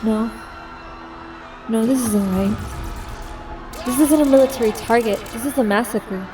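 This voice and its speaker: Actress doing disillusioned soldier girl thinking out loud, audio drama, sounds a bit off-mic, big music behind. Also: fairly high pitch, unhurried, distant mic, lightly acted. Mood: shocked, anguished. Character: disillusioned young woman soldier on covert mission. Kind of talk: first-person audio drama inner narration.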